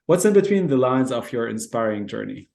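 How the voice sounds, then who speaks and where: A man's voice: medium pitch, very animated, a little fast, gravelly, darkish tone. A man with a mid-range voice hosts a podcast. He reads his guest's introduction from a formal, prepared script. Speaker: podcast host.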